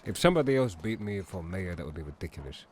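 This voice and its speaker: A man playing a courteous, slightly pompous immigrant businessman who speaks Mandarin natively, talking formally and deliberately. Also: low voice, big pitch swings, faint music behind. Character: courteous Chinese immigrant businessman, mayoral hopeful. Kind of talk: roleplay dialogue.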